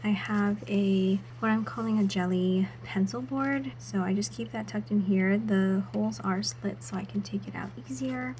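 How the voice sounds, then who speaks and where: A woman vlogger narrating her planner setup in a soft, half-whispered voice, her throat still a little sore. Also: medium pitch, normal speed, few pauses, slight background noise. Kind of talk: casual voice-over while setting up a planner.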